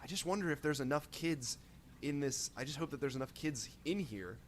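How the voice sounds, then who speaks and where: A man playing an improvised tabletop game, speaking in a halting, thinking-aloud way. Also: a little high, lively intonation, slight background noise. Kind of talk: casual improvised role-play.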